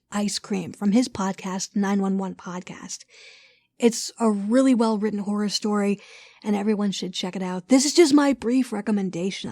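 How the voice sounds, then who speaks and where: A woman's voice: medium pitch, warm tone, dry recording. A woman hosting a podcast, giving casual announcements and interview questions.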